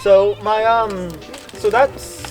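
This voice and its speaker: Young American man, enthusiastic outdoor interviewer, a bit high-pitched, background music. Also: flat delivery, slow pace. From United States. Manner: eager, rambling, enthusiastic. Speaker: travel vlogger interviewing hikers. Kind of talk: casual interview. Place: outdoors on a mountain trail.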